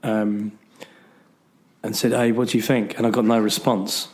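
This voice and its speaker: A man's voice: fairly low pitch, flat delivery, measured pace, a little mellow. A man with a bad cold and a fading voice, chatting loosely as a podcast co-host with lots of ums and restarts. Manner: rambling, full of ums and restarts. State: has a bad cold, losing his voice. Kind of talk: casual chat.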